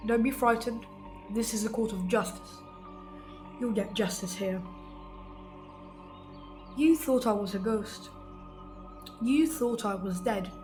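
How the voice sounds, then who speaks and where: A woman's voice: mid-range voice, close to an everyday voice. A woman playing a mad, commanding old judge, a gloating villain in an amateur school radio play.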